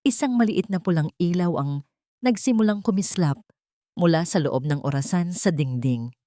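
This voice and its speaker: A woman's voice: fairly low pitch, lively intonation, moderate pace, mellow, no room sound, clean studio sound. A woman reading dramatic scripted narration for an audio drama story.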